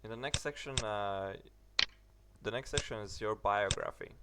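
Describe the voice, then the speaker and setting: Low gravelly man walking through a tutorial. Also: heavy background noise. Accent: British English. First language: English. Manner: halting, many fillers and restarts. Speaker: tutorial narrator. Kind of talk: screen-recorded tutorial walkthrough.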